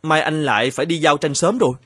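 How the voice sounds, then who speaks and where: A man voicing an earnest, evasive married painter in an animated folktale, with a polite and hesitant manner. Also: on the high side, fast, husky, dry recording, clean studio sound.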